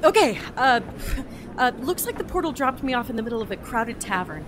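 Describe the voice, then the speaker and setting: Woman acting chatty young-sounding agent, swooping high voice. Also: sing-song, brisk, very noisy. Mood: flustered. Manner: chatty, self-deprecating, hesitant. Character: eager, flippant, anxious interdimensional agent reporting mission logs. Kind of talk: audio drama acting. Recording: musical backing. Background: music.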